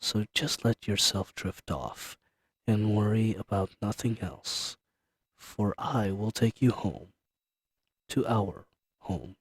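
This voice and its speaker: A man's voice: lowish voice, slow pace, slightly rough, dry recording, full character voice. A man voicing an ancient dragon familiar in an intimate audio roleplay, speaking formally, possessive and seductive, condescending yet soothing. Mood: tender, possessive. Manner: formal, archaic diction, soothing and teasing. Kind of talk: intimate scripted roleplay audio addressed to the listener.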